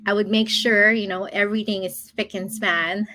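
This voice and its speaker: A woman's voice: mid-range voice, little pitch movement, moderate pace, sharp tone. A woman in her thirties, a guest on an interview show, chatting casually about her own experience and laughing often between thoughts. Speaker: guest, online business coach.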